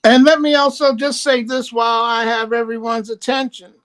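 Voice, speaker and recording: A woman's voice: a little high, level tone, moderate pace. A woman calling in to a talk show, blunt and opinionated, with a teasing edge. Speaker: caller. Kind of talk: casual chat.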